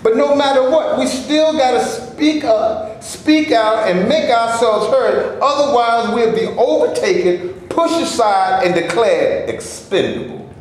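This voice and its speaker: A man playing an earnest, hopeful man in a staged play reading, speaking in a measured, speech-like way. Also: very high voice, lively intonation. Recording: echoey room with noise.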